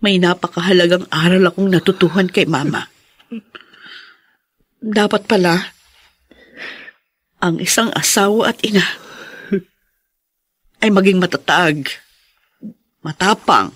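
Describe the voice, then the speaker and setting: An actress in a Filipino radio drama playing a devoted, encouraging daughter-in-law, speaking in an earnest, heartfelt way. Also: female voice, lowish voice, a little slow, pauses often, fairly bright tone, husky, no echo.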